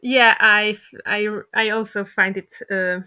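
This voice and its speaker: Bright-voiced woman interviewing casually. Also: medium pitch, steady tone, a little slow, smooth.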